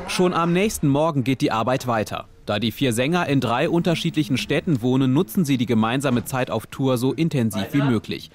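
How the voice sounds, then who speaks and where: A man narrating a television documentary in calm, even scripted voice-over. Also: medium pitch, fairly quick, few pauses, noisy background.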